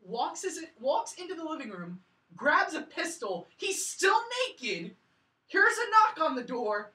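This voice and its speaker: A woman co-hosting a film review podcast, casually recounting scenes in an animated way, peppering sentences with "like". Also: high-pitched, measured pace. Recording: roomy, a bit far from mic.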